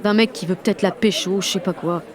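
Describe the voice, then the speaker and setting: A woman's voice: medium pitch, fairly even intonation, brisk, natural voice. Woman as a blunt, slangy teenage girl, crowd behind. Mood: sardonic. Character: sixteen-year-old girl, rebellious, sardonic, lovestruck student. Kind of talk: first-person audio drama narration in slangy speech.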